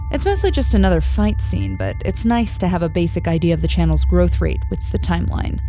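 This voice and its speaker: A woman's voice: medium pitch, dark tone. A woman hosting a scripted true-crime podcast, narrating in a sardonic, conversational way with casual swearing and asides. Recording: music behind the voice. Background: background music.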